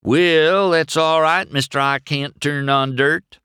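A man's voice: fairly high pitch, wildly swooping pitch, moderate pace, a bit bright, no echo, studio quality, voice pushed up. A man voicing a goofy, friendly male tow truck in a children's storybook, chattering in a folksy, rambling way. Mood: goading.

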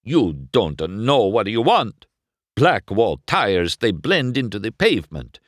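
A man voicing an excitable little Italian tire-shop car, male, with a gushing, sing-song Italian accent. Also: lowish voice, slow pace, dry recording, clean studio sound, pitched above the performer's own voice, full character voice. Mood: insistent. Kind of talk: storybook read-aloud.

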